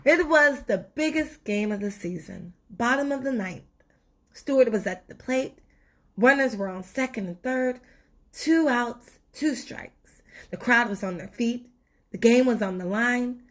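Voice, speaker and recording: A woman with a fairly high voice narrates a children's story. Her delivery is expressive and unhurried, with deliberate pauses to build drama. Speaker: storybook reader.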